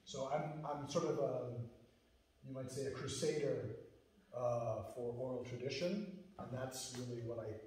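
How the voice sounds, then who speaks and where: A man's voice: medium pitch, a little slow, a little mellow. Earnest American man in an echoey hall. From United States. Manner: earnest, thoughtful, restarts phrases. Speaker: lecturer and musician. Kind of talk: lecture Q&A answers. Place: lecture hall. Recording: echoey hall, distant mic.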